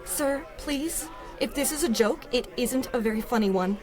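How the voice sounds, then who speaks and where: An actress playing a polite, flustered young bank teller in a radio drama. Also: female voice, a little high, moderate pace, natural voice. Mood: flustered, uneasy. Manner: courteous, flustered. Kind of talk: 1930s-style radio drama acting. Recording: music clearly behind.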